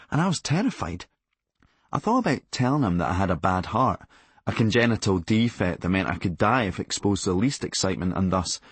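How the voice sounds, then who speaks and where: A man's voice: on the low side, husky, no room sound. A man narrating a comic memoir audiobook from a script, with a dry, sardonic delivery.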